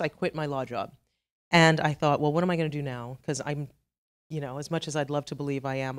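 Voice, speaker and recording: A woman's voice: deep voice, smooth. A middle-aged woman from Trinidad with a Trinidadian accent, giving a chatty, self-deprecating conference talk full of asides. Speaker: conference speaker. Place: conference hall.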